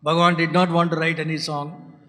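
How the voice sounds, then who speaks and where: A man with a Tamil mother tongue gives a reflective spiritual talk in a bright, fairly high voice. He speaks haltingly and often lets his voice fall to a soft hush. The sound rings with the long reverb of a lecture hall. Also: level tone. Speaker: speaker at a spiritual centre's founders day.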